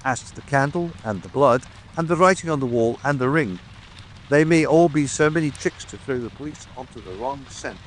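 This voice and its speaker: A man's voice: mid-range voice, lively intonation, moderate pace, a little hiss or hum, lightly acted, rough recording. A man playing a self-satisfied, officious police detective, formal and methodical, in a dramatic audiobook. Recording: faint rain ambience behind.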